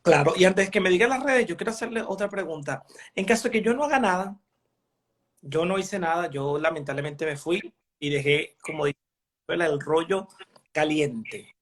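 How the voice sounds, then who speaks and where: A journalist from Venezuela asking an expert long, winding questions with many hesitations. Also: male voice, on the high side, animated, normal speed, a bit bright. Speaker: journalist interviewer. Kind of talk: interview questions.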